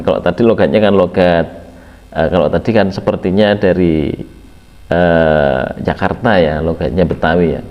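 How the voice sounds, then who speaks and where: A man's voice: low-pitched, in a large hall, dull audio. A man commenting on a video clip in a rambling way, with many fillers and repeated words of agreement. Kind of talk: reaction commentary.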